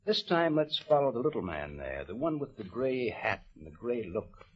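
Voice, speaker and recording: Husky radio interviewer, male. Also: mid-range voice, sing-song, normal speed. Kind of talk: old-time radio human-interest interviewing and narration. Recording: dull, muffled old radio recording.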